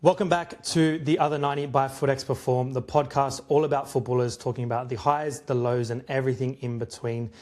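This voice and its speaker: Medium-pitched young man hosting a casual podcast. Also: steady tone, fairly quick, few pauses, a little mellow. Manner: informal, chummy, affirming interjections. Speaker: podcast host.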